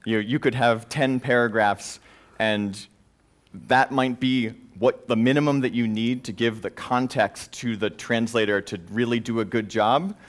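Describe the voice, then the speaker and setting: A man presenting at a tech conference, answering audience questions informally in halting phrases peppered with filler words. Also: medium pitch, steady tone. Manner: hedging, halting explanations peppered with "you know".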